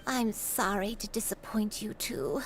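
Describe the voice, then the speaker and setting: A woman voicing a gentle, troubled granny with lost memories in a video game, speaking hesitantly. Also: medium pitch, expressive, a little slow, gravelly, slight background noise. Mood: wistful. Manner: hesitant, soft-spoken, trailing off.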